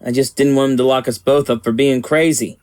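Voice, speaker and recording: An actor playing an earnest, protective small-town sheriff in an audio drama, plainspoken and folksy. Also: male voice, medium pitch, fairly even intonation, a little fast, darkish tone, clean tone, natural voice. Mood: reassuring, grave.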